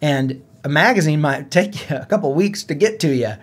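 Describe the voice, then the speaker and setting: A man's voice: fairly high pitch, animated, moderate pace, breathy edge. A man co-hosting a gaming podcast in a casual, conversational way, with "like" sprinkled through his talk. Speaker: podcast co-host.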